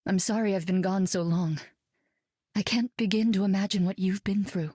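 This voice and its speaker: Game role: woman as an earnest heroine, mid pitch, dry sound. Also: steady tone, normal speed, slightly rough, no echo, lightly acted. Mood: gentle. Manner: gentle, earnest. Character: warm psychic heroine woman.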